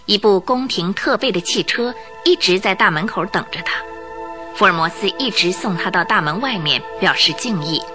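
Expressive woman narrating a radio drama. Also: mid-range voice, moderate pace. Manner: vivid, expressive storytelling. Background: background music.

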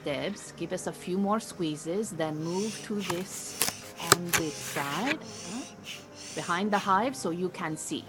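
A woman playing a firm, measured beekeeping teacher in an audio drama, giving instructions. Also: lowish voice, over loud music. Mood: calm, instructive.

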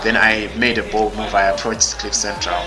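A young man speaks his mind in a forthright, emphatic way with a somewhat low voice. He talks casually as an interview guest. Music plays clearly beneath his voice. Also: level tone, normal speed. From Zimbabwe. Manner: outspoken and emphatic. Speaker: podcast guest. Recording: music playing clearly underneath.

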